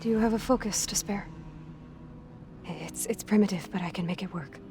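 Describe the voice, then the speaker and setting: A woman acting a fragile, bookish young cloned woman in a video game, with halting, clinical, precise wording. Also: mid-range voice, music in the background, natural voice. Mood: subdued, clinical.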